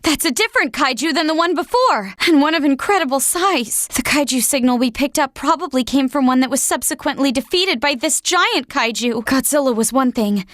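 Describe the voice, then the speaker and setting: A young-sounding, high-pitched woman serving as a military operator in a monster game. She reports in clipped, dramatic phrases, anxious beneath her drilled discipline. The sound is dry and close, with no room around it. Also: fairly bright tone, dry recording. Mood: alarmed. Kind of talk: video game voice acting, urgent radio-briefing dialogue.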